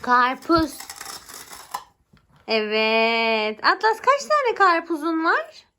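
A woman's voice: very high-pitched, expressive, moderate pace, a bit bright, slight background noise. A woman chatting casually and playfully with her small son as his mother, coaxing and encouraging him with simple questions. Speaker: mother playing pretend with her young son.

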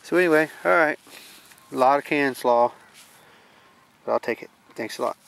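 A man's voice: mid-range voice, moderate pace, vocal fry, a bit bright. A man chatting casually and jokingly while hosting a handheld vlog, often repeating his phrases. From US South. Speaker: vlog host, relic hunter. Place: open farm field outdoors. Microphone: handheld camera microphone. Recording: noisy windy outdoor camcorder audio.

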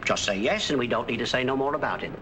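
An actor playing a cheerful working-class middle-aged cab driver in a 1940s film, folksy and plain-spoken. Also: male voice, medium pitch, lively intonation, fast talker, lightly acted. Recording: hissy 1940s film soundtrack.

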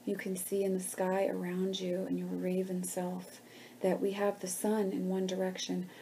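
A woman leading a guided meditation in a gentle, hushed, soothing manner with pauses between phrases. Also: lowish voice, monotone, a little hiss or hum, poor audio.

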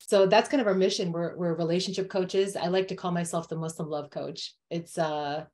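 A woman's voice: on the low side, fairly even intonation, moderate pace, darkish tone. An American woman, a relationship coach, speaking in an upbeat, friendly way on a video call from home. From United States. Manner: upbeat, laughs easily. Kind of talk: casual interview talk. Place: home room.

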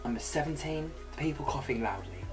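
A man's voice: a little high, brisk. A young man hosting a comedic numbered list to camera in a small bedroom. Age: young adult. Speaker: video host. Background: music.

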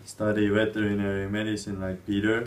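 A man's voice: lowish voice, monotone, brisk. A young man from Estonia introducing himself in a joking, laughing way to a camera in a small office. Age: young adult. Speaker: student volunteer. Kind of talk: casual self-introduction. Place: small office with green walls. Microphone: far camera. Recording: distant camera, faint hiss.

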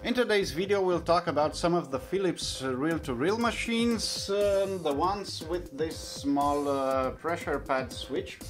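A man in his thirties who is not a native English speaker, explaining things hands-on as a video host, in a halting, hesitant way with frequent pauses. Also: a little high, expressive, soft background music. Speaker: host of vintage audio videos. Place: small indoor room at home.

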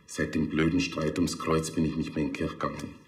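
An actor playing an aggrieved, defensive cemetery administrator. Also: male voice, very deep voice, little pitch movement, a little fast. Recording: roomy echo, faint noise.

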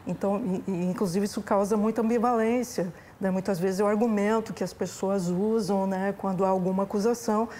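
A woman's voice: mid-range voice, steady tone, fairly quick, few pauses, warm tone, slight background noise. A middle-aged Brazilian woman explaining conversationally as an interviewed expert, often adding tag questions, on a lapel mic indoors. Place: indoors, library room.

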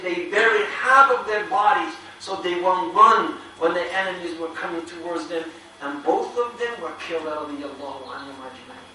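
A man in his thirties giving an animated Islamic lecture to a seated audience, telling stories with loud, emphatic peaks and Arabic phrases mixed in, on a lapel mic. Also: high-pitched, recorded from a distance, a little hiss or hum. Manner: mixes in Arabic quotations and phrases. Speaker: Islamic preacher. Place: large indoor hall with a seated crowd. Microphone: clip-on lapel mic. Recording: very echoey hall, voice from afar.

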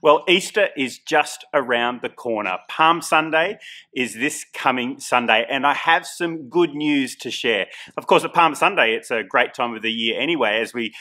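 A man's voice: mid-range voice, expressive, moderate pace, bright, forceful tone. A middle-aged man with an Australian accent, a senior minister delivering church news to camera in an upbeat, encouraging way. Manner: upbeat, encouraging, emphatic on dates and times. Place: indoor studio set with plants and coloured lights. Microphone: camera framing, head and shoulders.

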